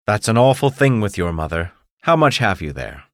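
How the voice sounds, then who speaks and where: A man's voice: fairly low pitch, sing-song, normal speed, dry recording, studio quality. A man voicing a pompous, sanctimonious mayor who lectures in a scolding tone. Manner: preachy, scolding. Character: pompous, sanctimonious middle-aged male mayor.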